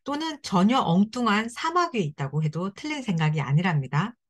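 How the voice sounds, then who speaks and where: Gentle woman guiding kids through a craft. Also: mid-range voice, big pitch swings, brisk. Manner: gentle, encouraging, speaks directly to kids. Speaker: children's activity instructor. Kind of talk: scripted instructional narration for children.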